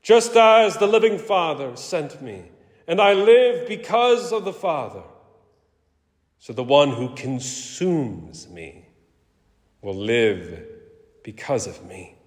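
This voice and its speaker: Guy playing weighty authoritative teacher from scripture, really drawn out, pitch soaring and dropping, long echo. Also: very animated. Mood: solemn, fervent. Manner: declamatory, weighty, deliberate. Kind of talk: dramatic scripture reading. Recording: echoey hall with long reverb.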